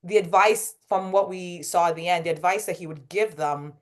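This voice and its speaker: A woman's voice: on the low side, moderate pace. A woman hosting a casual group book discussion, thinking aloud and putting questions to the others. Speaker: book club discussion host.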